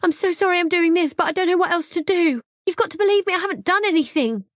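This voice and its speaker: Woman voicing a distraught young woman, shrill high pleading, sobbing, dry close sound. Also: normal speed, no room sound. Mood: desperate pleading.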